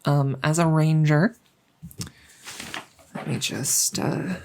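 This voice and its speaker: A woman playing a friendly, chatty dungeon master in a soft-spoken ASMR roleplay, musing and hesitant as she talks intimately to the listener. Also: low-pitched, fairly even intonation, slow, vocal fry, slight background noise, heavily acted. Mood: casual, explanatory. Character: friendly female dungeon master, chatty, teasing, doting cat owner.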